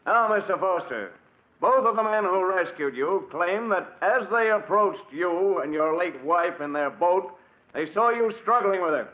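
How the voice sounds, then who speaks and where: A stern courtroom prosecutor in a 1940s radio play, formal and deliberate, with a high voice full of accusation. The recording is thin and tinny. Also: male voice, expressive. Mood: accusing, sceptical.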